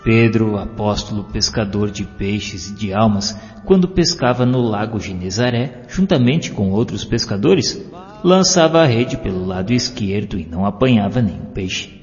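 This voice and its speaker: A man reading a scripted devotional narration and poetry in a solemn, declamatory manner with drawn-out phrases. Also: fairly low pitch, very animated. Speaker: audiobook narrator. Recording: dull lo-fi audio with long hall reverb. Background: clear music.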